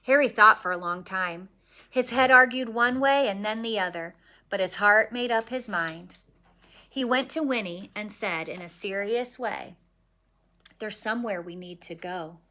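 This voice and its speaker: A woman reading a children's picture book aloud expressively, with dramatic pauses. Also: mid-range voice, bright, forceful tone. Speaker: story reader.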